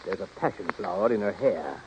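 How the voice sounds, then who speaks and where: An actor playing a zealous film man in a 1930s radio comedy, pitching melodramatic scenes with emphatic drama. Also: expressive, a little fast. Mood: excited pitching. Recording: noisy dull vintage 1930s radio recording.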